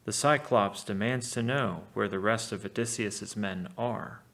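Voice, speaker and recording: A man with a gravelly voice, a teacher reading a story aloud and commenting on it casually. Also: lowish voice, unhurried, a bit bright.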